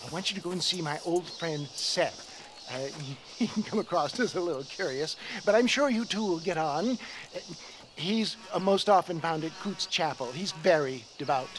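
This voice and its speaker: A man's voice: fairly high pitch, lively intonation, moderate pace, background noise, close to an everyday voice. An actor playing a verbose older con man in a western game, ingratiating and flowery, with hesitant starts. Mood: persuasive. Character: wheedling, verbose old con-man salesman. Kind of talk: video game western acting.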